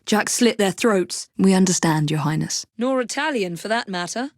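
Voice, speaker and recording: A woman's voice: medium pitch, lively intonation, normal speed, no echo, studio quality, natural voice. An actress playing a composed young Victorian woman assassin with an English accent in a video game, wry, proper and determined. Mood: grave, intent. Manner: crisp, proper, understated.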